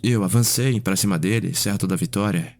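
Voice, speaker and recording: A man playing a curious, reflective vampire noble in an ASMR roleplay, telling his story in an unhurried way with pauses. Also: lowish voice, a little mellow. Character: Cedric, curious, reflective male vampire noble, younger brother. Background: music.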